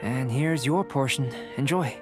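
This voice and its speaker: Man playing a composed young alchemist, mid pitch. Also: natural voice. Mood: mild, polite. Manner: measured, formal, articulate. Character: composed, polite young male alchemist, scholarly and reserved. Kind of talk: video game voice acting. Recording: game music behind the voice.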